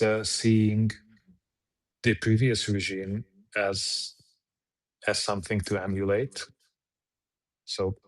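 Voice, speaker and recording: Slow, flat-voiced man. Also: lowish voice. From Hungary. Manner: measured, halting, frequent restarts. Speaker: guest, PR agency head. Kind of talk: conversational interview answers.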